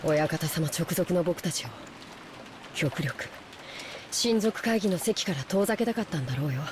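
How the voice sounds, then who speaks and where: A cool, cynical young servant boy voiced by an actress in an anime visual novel. The speech is quick yet reserved and matter-of-fact. Clear rain sounds surround the voice. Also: fairly low pitch, animated, close to an everyday voice. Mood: cool, resigned. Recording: clear rain noise over the voice.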